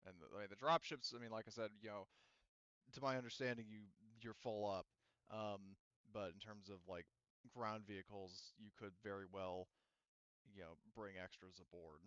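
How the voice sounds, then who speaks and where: A man with a smooth, clear voice runs a tabletop roleplaying game. He explains casually and haltingly, thinking aloud as he goes. The recording is dry and a little dull. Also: fairly low pitch, steady tone, fairly bright tone, dry recording. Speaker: game master.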